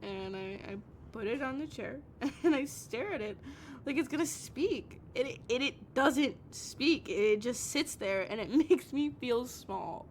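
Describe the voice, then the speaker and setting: A heartbroken, obsessive young woman, acted out by a woman in an intimate audio roleplay, with a fairly high voice. She stammers and repeats herself, raw with emotion, as she pleads with a partner. The recording has some noise and low rumble under it. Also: lively intonation, unhurried. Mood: sad, wistful.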